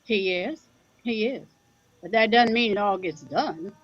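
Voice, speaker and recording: A woman's voice: mid-range voice, unhurried, no echo, dull audio, soft background music, natural voice. A woman playing a plain-spoken rural Midwestern mother in an audio drama, dry, folksy and teasing with her grown son. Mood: wry teasing. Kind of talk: audio drama acting, conversational.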